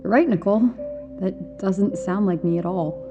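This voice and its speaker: A woman's voice: mid-range voice, normal speed. A woman playing an elusive, taunting missing teenage girl in an audio drama, with a sardonic manner and a muffled filter on her voice. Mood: sardonic, challenging. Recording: muffled narrow band, faint music.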